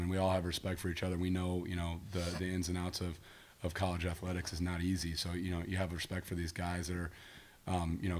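Male, 20s, American athlete at press table. Deep, quick delivery, faint hiss. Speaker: college football player. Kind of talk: press conference answers. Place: indoor press conference room. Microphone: table microphone.

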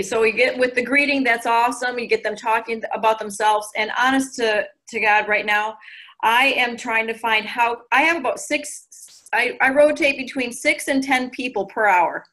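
A woman in her forties giving chatty, animated coaching advice over a video call from her living room. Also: a little high, normal speed. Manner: chatty and animated, gestures a lot. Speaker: guest recruiter. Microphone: video call laptop mic.

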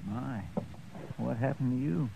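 An actor playing a marshal's meek, loyal sidekick, hesitant and deferential, in a 1950s radio western. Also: male voice, medium pitch, measured pace, creaky. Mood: timid. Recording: muffled, noisy vintage radio recording.

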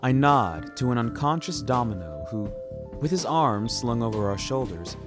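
A man reading scripted first-person fiction in a deliberate, ornate and measured storytelling manner. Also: medium pitch, expressive. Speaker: narrator of a serial audio story. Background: music.

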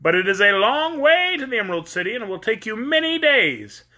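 A man doing a high, grave little Munchkin. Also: big pitch swings, fairly quick, pushed and bright, full character voice. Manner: grave, earnest warning.

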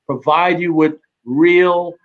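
A middle-aged man on a live video-call panel, explaining in a measured way and checking with "right?". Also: a little high, steady tone. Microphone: video call webcam. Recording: dull webcam sound.

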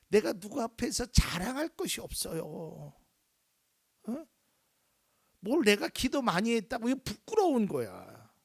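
A male pastor preaching a sermon in a lively way, acting out little quoted voices and dropping at times to a near whisper. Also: a little high, creaky, slightly rough, no echo.

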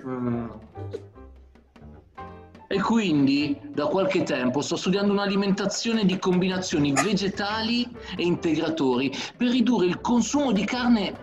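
Actor as a pompous man with animated pitch. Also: unhurried, music in the background. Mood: ingratiating, self-important. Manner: hesitant, pompous wordy phrasing. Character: smooth-talking man feigning vegetarian sympathy to impress. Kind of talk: comic radio soap acting. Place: home kitchen. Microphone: video call.

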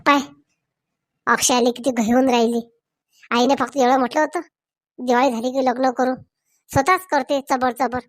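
A woman's voice: high-pitched, steady tone, moderate pace, pauses often, no echo, clean studio sound, lightly acted. A woman voicing chatty, meddling village women in a Marathi comedy cartoon, with a rural Varhadi accent and colloquial, exclamatory talk. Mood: excited. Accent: rural Maharashtra, Varhadi Marathi.

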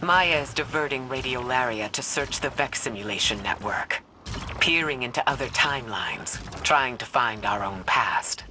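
A man's voice: mid-range voice, animated, normal speed, background noise, close to an everyday voice. A man playing a grave, knowledgeable strategist in a video game, explaining things in a serious, formal way. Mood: grave, warning. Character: grave, knowledgeable male strategist warning of danger. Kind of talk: video game character dialogue. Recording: loud game music behind the voice.

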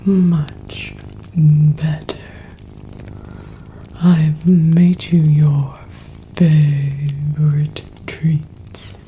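A young woman whispering gently and soothingly in an ASMR roleplay, cooing as a witch to her pet cat, close to the microphone. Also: low-pitched, flat delivery, drawn-out words, pauses often, a little hiss or hum, low-quality recording. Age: young adult. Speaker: ASMR performer.

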